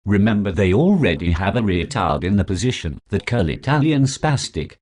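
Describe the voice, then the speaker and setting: An actor playing a foul-mouthed prosecuting lawyer who talks with sarcastic contempt. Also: male voice, lowish voice, big pitch swings, moderate pace, darkish tone. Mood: scornful. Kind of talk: comedy sketch acting.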